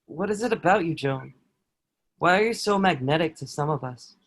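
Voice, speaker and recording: A man acts out a medieval soldier with a notably high male voice. He is a proud, dutiful lackey who is quietly awed by his prisoner. His phrasing is earnest and formal, as in a historical stage play. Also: expressive, normal speed. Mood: earnest, troubled. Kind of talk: table-read stage acting of historical drama.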